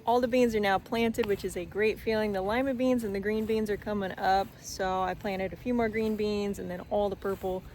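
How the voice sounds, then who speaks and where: A woman's voice: medium pitch, fairly even intonation, brisk, few pauses, a little mellow. A young woman, chatting casually in a farm diary vlog, her voice a little strained from talking. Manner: chatty, plainspoken, lots of fillers. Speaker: farm vlogger. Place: outdoors on a farm garden. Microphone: phone held while walking.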